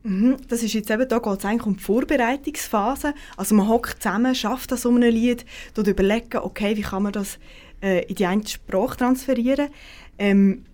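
A woman's voice: a little high, normal speed, darkish tone. A woman hosting a conversation, asking long, loosely phrased questions with many fillers. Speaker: podcast host. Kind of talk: conversational interview.

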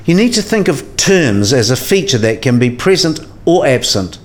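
A learned professor played by a man in a radio play, with a mid-pitched voice. He explains things step by step in a precise, didactic way. He often puts questions to his listener like a patient tutor. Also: sing-song, moderate pace, close to an everyday voice.

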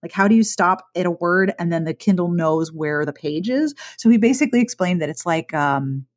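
A woman's voice: fairly low pitch, expressive, brisk. A woman hosting a podcast interview in a talkative, thoughtful, casual way. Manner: thoughtful, talkative, frequent restarts. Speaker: podcast host.

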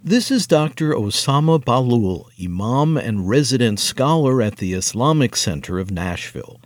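A man reading scripted narration for a broadcast feature, in a crisp delivery. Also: medium pitch, lively intonation, normal speed, dry recording. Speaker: radio narrator.

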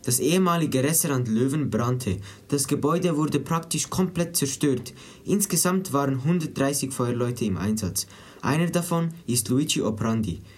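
Casting: male teen student reporter, mid-range voice, reading scripted narration. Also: mid-range voice. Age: teenager.

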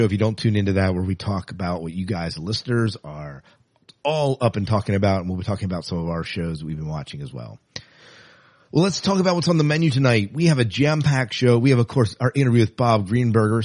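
A man's voice: lowish voice, animated, fast, darkish tone, no echo. A man hosting a podcast in a casual, chatty and enthusiastic way. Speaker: podcast host.

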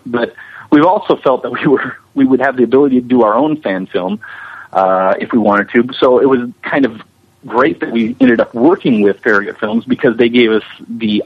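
A man speaking as an interview guest, explaining his animation work with frequent pauses mid-phrase. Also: mid-range voice, breathy edge. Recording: muffled, lo-fi audio.

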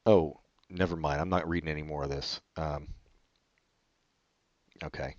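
A man hosting a casual comedy chat, reading online questions aloud and answering with deadpan sarcasm. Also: unhurried, no room sound. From California. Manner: sarcastic, deadpan jokes with sudden pitch jumps. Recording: dull, muffled lo-fi audio.

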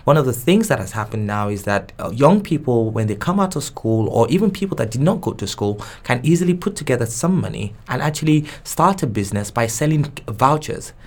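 A man's voice: medium pitch, animated, brisk, hardly any pauses, darkish tone. A man whose first language is Yoruba, answering interview questions thoughtfully with frequent restarts and repeated words. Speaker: guest expert.

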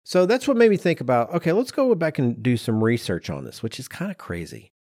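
A man's voice: mid-range voice, big pitch swings, a little fast, darkish tone, no room sound, clean studio sound. A middle-aged man co-hosting a podcast, telling researched stories informally with personal asides. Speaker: podcast co-host. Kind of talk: conversational storytelling from research.

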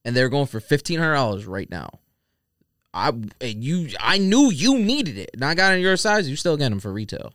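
Bright-voiced, animated man interjecting. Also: fairly high pitch, normal speed, no room sound, clean studio sound. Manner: interjecting, insistent, repeats words. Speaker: podcast panelist. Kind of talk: casual podcast chat. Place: podcast studio.

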